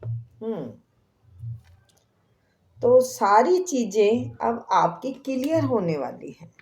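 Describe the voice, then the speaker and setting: A woman with a sore throat giving a tarot reading to her viewers, speaking softly and slipping into whispered prayers. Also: on the high side, very animated. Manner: slow, soft, drops to whispers in prayer. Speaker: tarot reader.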